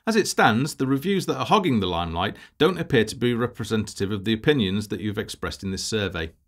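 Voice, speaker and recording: A man with a slightly low voice narrates a prepared presentation. His intonation rises and falls in a lively way, while his delivery stays measured and formal. He sounds like a project lead giving a businesslike report.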